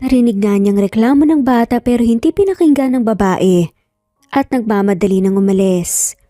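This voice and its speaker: A woman's voice: a little high, normal speed, dark tone, no room sound, studio quality, faint music behind. A woman with a Filipino accent narrating a dramatized romance story as a storyteller.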